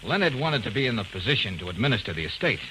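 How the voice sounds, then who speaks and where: An actor playing a tough, wisecracking private detective in 1940s American radio drama, with brisk clipped delivery. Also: male voice, medium pitch, sing-song, lightly acted. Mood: matter-of-fact. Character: wry, tough, quick-witted male private detective. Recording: noisy vintage radio drama recording.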